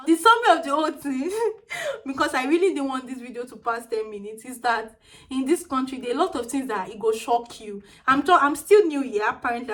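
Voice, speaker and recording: A young woman in her twenties with a Nigerian accent and a small, tiny-sounding voice, chatting animatedly in a casual vlog and slipping in pidgin phrases. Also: high-pitched. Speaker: vlogger.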